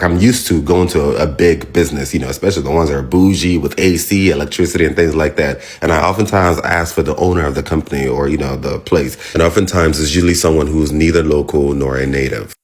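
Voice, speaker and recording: A young man from Nigeria with a mix of Nigerian and American accent, chatting casually to his viewers and asking their opinion. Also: very deep voice. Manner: chatty, repeats shout-outs, laughs and teases near the end. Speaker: vlogger asking viewers a question. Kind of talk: casual direct-to-camera talk.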